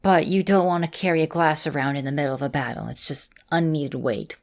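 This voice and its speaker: A woman playing an affectionate vampire mistress in an ASMR roleplay, speaking in a gentle, reassuring and softly teasing manner. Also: deep voice, a little fast. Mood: flustered, teasing. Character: affectionate centuries-old female vampire mistress, encouraging mentor.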